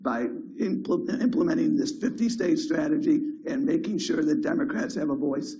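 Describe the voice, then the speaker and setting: A hoarse man who has lost his voice, answering a debate question quickly and emphatically with many fillers. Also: a little high, lively intonation, warm tone, a little hiss or hum. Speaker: debate candidate.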